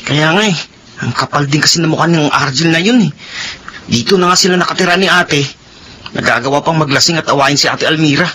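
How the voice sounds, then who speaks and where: An actor playing a worried, excitable schoolboy and younger brother in a Filipino radio drama, with a Filipino accent and a fretful manner. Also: male voice, a little high, fairly quick, slight background noise. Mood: grumbling. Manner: fretful, stammering when alarmed.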